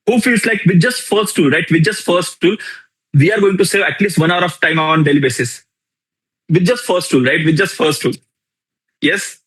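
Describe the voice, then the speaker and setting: A young man with an Indian accent teaches a live workshop with a lot of energy. He repeats his key lines and keeps asking the audience questions. His voice sits slightly high and has a husky edge. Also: normal speed, a bit bright, low-quality recording. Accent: Indian English. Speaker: workshop instructor. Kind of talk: live online tool demonstration.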